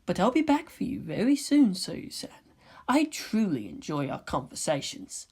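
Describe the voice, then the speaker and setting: A woman voicing a serene, courteous teenage boy with a soft, measured, polite manner in a fan fiction reading. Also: on the low side, huge pitch swings, husky, heavily acted. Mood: calm, gently courteous.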